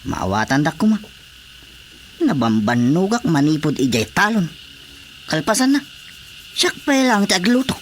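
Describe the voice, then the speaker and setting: Grumbling, fed-up Ilocano husband. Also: male voice, a little high, sing-song, normal speed, long pauses, soft background music. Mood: weary, exasperated. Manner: plaintive, grumbling. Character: fed-up, overworked husband grumbling about lazy spouse. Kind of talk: radio drama acting. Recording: faint background noise.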